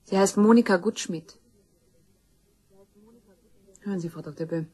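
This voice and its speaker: A woman's voice: mid-range voice, a little slow, warm tone, husky. An actress playing a determined young woman in a crime radio play, accusing and insistent. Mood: determined, demanding. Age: young adult. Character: grim, determined young woman seeking justice for her murdered mother.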